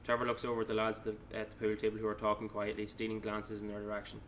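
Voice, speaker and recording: A man's voice: lowish voice, monotone, racing, a bit bright, slight background noise. A man narrating stage directions at a table read, plainly, with small stumbles as he reads.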